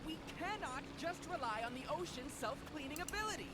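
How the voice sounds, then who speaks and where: High, earnest townswoman. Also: lively intonation, a little fast, sharp tone. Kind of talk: video game voice acting.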